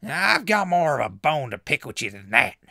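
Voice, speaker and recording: A raspy, forceful hillbilly hermit in a post-apocalyptic radio comedy. He has a rural Southern accent and threatens in folksy, broken grammar, with lively swings of pitch. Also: moderate pace, no echo, clean studio sound, exaggerated voice. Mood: menacing. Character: hot-tempered backwoods hillbilly hermit with an old rifle. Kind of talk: post-apocalyptic comedy audio drama acting.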